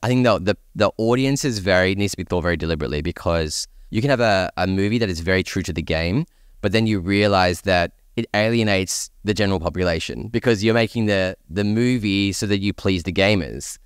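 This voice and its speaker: A man with a deep, resonant voice speaks as a panelist in a casual discussion. He explains things in a measured way, recorded dry and close. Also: smooth, no echo. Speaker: panelist on a video game talk show.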